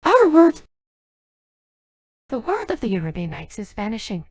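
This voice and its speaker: A woman's voice: on the high side, huge pitch swings, normal speed, dry recording, clean studio sound. A synthetic female voice playing an earnest, formal young genie of a magic ring in a dubbed game cutscene. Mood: grave, pleading. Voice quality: synthetic text-to-speech voice. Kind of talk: dubbed game cutscene dialogue by text-to-speech.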